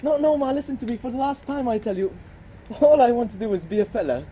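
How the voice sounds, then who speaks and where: Woman playing a nervous young groom, stammering, very dark tone, lively pitch. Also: brisk, slight background noise. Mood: agitated. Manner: stammering, urgent.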